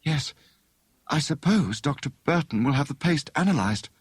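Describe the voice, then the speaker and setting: Man voicing anxious young woman, raised pitch. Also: lively intonation, moderate pace, breathy edge, dry recording. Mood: anxious, distraught. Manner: polite, earnest. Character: anxious, well-mannered young Englishwoman, tall and steady.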